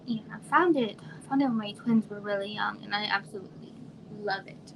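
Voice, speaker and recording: A woman from Arizona in her thirties, chatting casually on a home livestream in a quiet, soft voice that trails off and sometimes drops to a whisper. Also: medium pitch, fairly quick, gravelly. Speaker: livestream host. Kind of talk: casual live chat with viewers. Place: home room. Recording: noisy home livestream audio.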